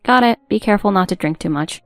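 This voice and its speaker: A woman's voice: mid-range voice, animated, fast, no room sound, faint music behind, lightly acted. A woman playing a polite, composed young wife and new mother in a scripted audio story. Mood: polite, innocent.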